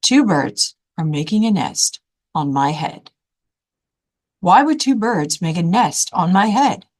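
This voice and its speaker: A fussy, worried male elephant from a picture book, played by a woman. He frets and questions everything in disbelief. His pitch swings widely, dipping and leaping as he complains. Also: lively intonation, slow, a little mellow. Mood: fretful, dismayed. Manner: fretful, incredulous questioning.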